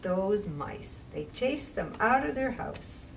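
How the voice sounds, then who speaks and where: A woman reading to young children as a library storytime reader, with measured, expressive phrasing and casual asides. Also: medium pitch, gravelly. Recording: distant mic with faint background noise.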